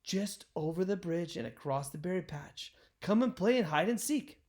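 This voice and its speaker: A man's voice: high-pitched, expressive, moderate pace, a little mellow. A man voicing a playful, sly fox in a children's storybook, tempting and coaxing. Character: sly, playful fox inviting others to play. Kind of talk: storybook character voice.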